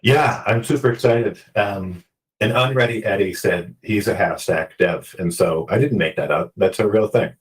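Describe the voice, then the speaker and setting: A man's voice: lowish voice, moderate pace, far from the mic, low-quality recording. A man hosting a casual chat, joking and upbeat as he asks questions. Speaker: host.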